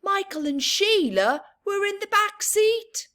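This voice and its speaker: A woman reading a picture book aloud to young children, expressive and chatty, adding playful asides. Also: very high-pitched, slow pace. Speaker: children's storyteller.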